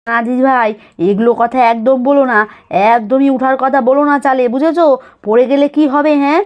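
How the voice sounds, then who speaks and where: A woman's voice: high-pitched, fairly even intonation, a little fast, lightly acted. A woman voicing a protective, scolding village grandmother in a children's doll-story cartoon, fretfully repeating her commands.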